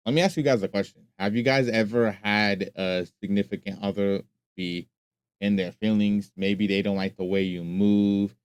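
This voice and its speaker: A man's voice: fairly low pitch, fairly even intonation, moderate pace, clear, resonant tone, dry recording. A man hosting a music reaction video, talking casually, bluntly and with plenty of swearing. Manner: blunt and profane, speaks his mind.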